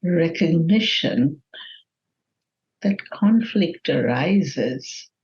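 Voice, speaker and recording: A woman leading a guided meditation in a gentle, measured manner with long pauses between phrases. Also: deep voice, expressive, muffled recording.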